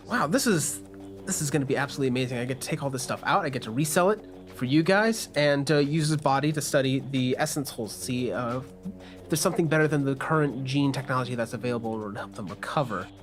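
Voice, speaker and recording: A man's voice: medium pitch, animated, fast. A man improvising conversationally as game master, narrating scenes and voicing characters in a tabletop game. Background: faint music.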